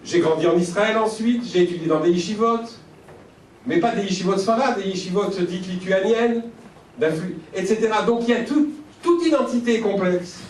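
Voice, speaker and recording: A man from Algeria gives a conference talk in a high, light voice, thoughtful and measured as he stacks his points into lists. He is picked up from some distance away in a large, echoey hall, with a faint hiss of room noise underneath. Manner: reflective, measured, with pauses and lists. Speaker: speaker at a conference. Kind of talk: lecture.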